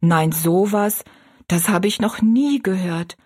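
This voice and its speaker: A woman's voice: medium pitch, takes it slowly, darkish tone, husky. Woman playing a simple young kitchen maid. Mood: wondering. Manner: simple, amazed, plain-spoken.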